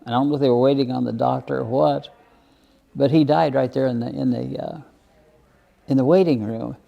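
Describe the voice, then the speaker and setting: A man in his eighties or older telling personal stories as an interview guest, plain-spoken and halting, often repeating words. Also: medium pitch, fairly even intonation, gravelly, highs cut off. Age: over 80. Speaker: interview guest, retired minister. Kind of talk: reflective personal storytelling in an interview.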